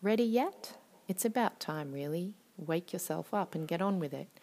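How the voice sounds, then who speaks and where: A woman's voice: lowish voice, expressive, normal speed, a little mellow. A woman reciting her own short poem in an emphatic, urging way.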